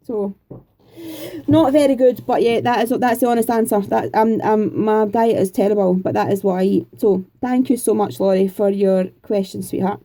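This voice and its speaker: A woman's voice: mid-range voice, fairly even intonation, moderate pace, dark tone. A Scottish woman in her forties chatting casually and rambling a little as she answers viewer questions. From Scotland. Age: 40s. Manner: chatty, rambling, homely, with frequent fillers. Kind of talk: casual chat.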